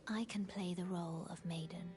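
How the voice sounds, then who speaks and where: An actress playing a mysterious maiden guide in a fantasy game, soft-spoken, formal and solemn, with a breathy, half-whispered delivery. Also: female voice, on the low side, steady tone, faint music behind, lightly acted. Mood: calm, earnest. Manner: formal, measured, hushed. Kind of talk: scripted fantasy game dialogue.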